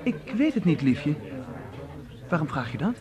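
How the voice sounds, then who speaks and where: A protective older brother in a radio play. His voice is high for a man and swings expressively, fond and teasing, with a crowd murmuring behind him. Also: sing-song, normal speed. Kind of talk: Dutch radio play acting.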